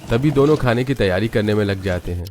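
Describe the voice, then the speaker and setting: Low-voiced man narrating a story. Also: fast, darkish tone. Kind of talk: scripted moral-story narration.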